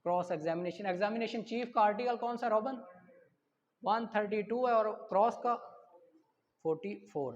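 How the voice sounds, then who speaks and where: A male teacher with a Pakistani accent and a notably high voice. He lectures in a didactic way, posing questions, answering them and repeating key terms. The recording carries a long, echoing reverb. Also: moderate pace, long pauses, dull audio. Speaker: law teacher. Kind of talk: exam-prep lecture, reading questions and explaining.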